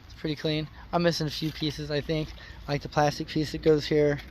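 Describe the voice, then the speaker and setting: A man hosting a car vlog outdoors, explaining his install in a plain-spoken, folksy way, his voice a bit hoarse. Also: a little high, completely flat delivery, a little fast, slight background noise, low-quality recording. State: slightly hoarse. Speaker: car customizing channel host. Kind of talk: casual how-to vlog.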